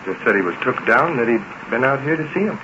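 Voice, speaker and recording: An actor playing a callous, greedy farmer's son with a rural American accent, gruff and sneering, in 1940s radio drama style. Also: male voice, medium pitch, lively intonation, fast, natural voice. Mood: sneering, curious. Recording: muffled, hissy 1940s radio recording.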